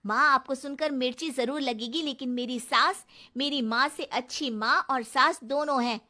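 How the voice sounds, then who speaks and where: Woman acting a bright, high-pitched, outspoken young bride. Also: moderate pace. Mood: indignant. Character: anxious, outspoken young sister-in-law and bride. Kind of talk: acted Hindi cartoon family story.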